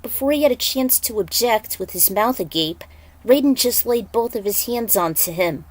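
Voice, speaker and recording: A woman's voice: on the high side, expressive, normal speed, no room sound. A woman narrating a piece of fan fiction, reading the prose aloud as a dramatic story.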